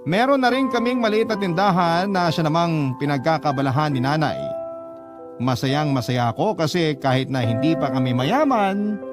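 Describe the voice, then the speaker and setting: A man's voice: on the high side, normal speed. A man narrating a scripted first-person letter for a Filipino radio drama, earnest and sentimental. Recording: clear music bed under narration.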